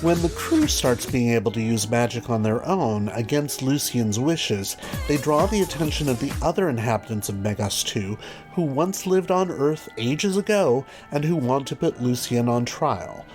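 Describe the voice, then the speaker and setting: A man's voice: mid-range voice, lively intonation, normal speed, hardly any pauses. A man presenting a scripted video review as host, with wry, dry asides. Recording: music behind the voice. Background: background music.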